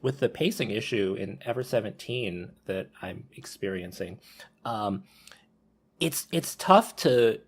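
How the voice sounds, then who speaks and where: A man's voice: medium pitch, a little hiss or hum. A man talking casually as a podcast panelist, hesitant, with frequent restarts and fillers. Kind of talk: casual discussion.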